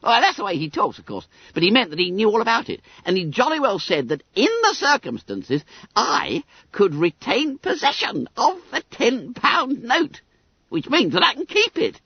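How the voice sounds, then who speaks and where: Storybook role: smug, pompous fat schoolboy, very high, squeaky and gleefully boastful, dull sound. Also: big pitch swings, normal speed, slightly rough, dry recording. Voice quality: squeaky, trilling. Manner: pompous, gleeful, muddles long words.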